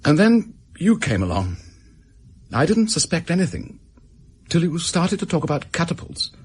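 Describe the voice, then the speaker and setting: Husky, desperate Englishman confessing. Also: a little high, huge pitch swings, normal speed, full character voice. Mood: bitter, defiant. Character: desperate, bitter male office worker confessing to murder. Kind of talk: radio drama acting.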